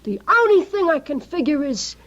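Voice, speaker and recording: A dull, vintage radio drama recording. a suspicious American wife with a high, highly animated voice, scolding and sarcastic, blunt with every word. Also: female voice, moderate pace. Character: sharp-tongued, suspicious, nagging working-class wife. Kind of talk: old-time radio drama acting.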